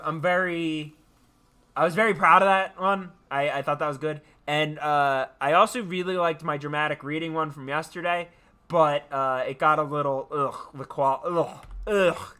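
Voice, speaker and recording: A man hosting a livestream, sounding tired yet animated, chatting casually and haltingly as he repeats his phrases. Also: on the high side. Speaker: livestream host. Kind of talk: casual rambling chat to viewers.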